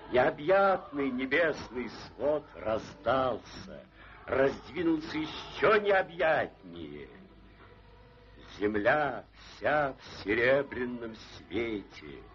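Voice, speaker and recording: An actor as a solemn narrator of an old cartoon, declaiming poetic prose reverently with long pauses. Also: male voice, medium pitch, fairly bright tone, heavily acted. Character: solemn, rapturous male storyteller. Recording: dull old film soundtrack.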